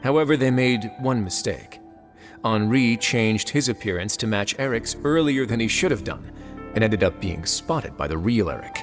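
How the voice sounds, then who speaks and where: A man's voice: on the low side, animated, moderate pace, faint music behind, lightly acted. A man voicing a composed, deductive prison warden in a video game. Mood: explaining.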